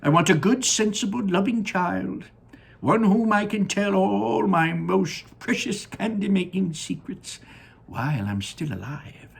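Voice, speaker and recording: A man acts out an old, eccentric chocolate maker from a children's book. The voice is pitched up and gravelly, swooping with excitement. He is earnest and theatrical, leaning hard on his words. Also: heavily acted. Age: older. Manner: emphatic, drawn-out delivery.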